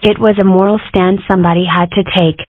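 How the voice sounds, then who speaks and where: A woman's voice: medium pitch, fairly even intonation, fast talker, no echo, close to an everyday voice. A woman voicing an opinionated, mischievous, sarcastic schoolgirl in a comic strip dub, wry and argumentative. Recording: muffled, phone-like narrow sound.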